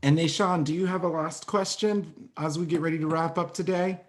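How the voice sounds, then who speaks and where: A man's voice: a little high, steady tone, a little fast, background noise. A man hosting an interview over a video call, who talks in an effusive, loose way with many fillers. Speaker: host.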